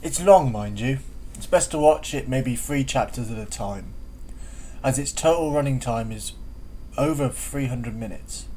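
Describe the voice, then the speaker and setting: A man's voice: mid-range voice, lively intonation, unhurried, mellow, low-quality recording. A man giving a semi-scripted review as spoken commentary. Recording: faint background noise.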